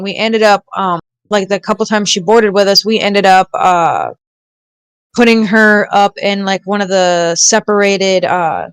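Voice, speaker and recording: A woman's voice: mid-range voice, a bit bright, smooth, no room sound. A woman telling anecdotes in casual podcast conversation. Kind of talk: casual chat, storytelling.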